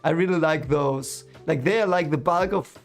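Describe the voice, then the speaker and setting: A man's voice: on the high side, normal speed, soft background music. A man with a German accent, German his first language, chatting casually with his live chat about a book he is reading, rambling with many fillers and restarts. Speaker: streamer reading a book series.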